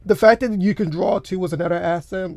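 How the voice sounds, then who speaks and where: A young man co-hosting a podcast in a studio, chatting casually in a rambling, animated way with lots of filler words. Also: sits high, brisk, no echo. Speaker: podcast co-host. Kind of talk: casual chat. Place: recording studio. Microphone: studio microphone.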